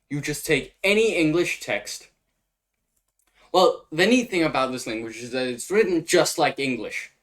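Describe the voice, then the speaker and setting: A young man with a Danish accent, a native Danish speaker, hosting a casual explainer of his invented language. He talks hesitantly and thinks aloud as he translates on the fly. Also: a little high. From Denmark.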